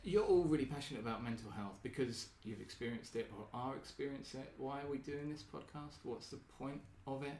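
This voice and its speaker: A man hosting a group talk in a meeting room, asking gentle questions with many hesitations and ums. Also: medium pitch, a little fast, hardly any pauses. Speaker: group facilitator and podcast host. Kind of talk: casual group discussion. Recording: roomy echo.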